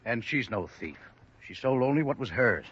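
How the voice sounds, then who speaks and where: A man acting a stocky, hot-tempered and loyal Irish man over forty in a 1950s radio drama, husky, blunt and defiant. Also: mid-range voice, lively intonation, normal speed, fairly bright tone. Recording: dull restored old radio recording.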